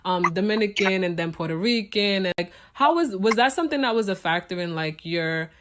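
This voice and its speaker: A woman hosting a podcast, talking casually with frequent fillers and quick agreements. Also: medium pitch, background noise. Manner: relaxed, frequent likes, quick affirmations. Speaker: podcast host. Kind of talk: casual conversation.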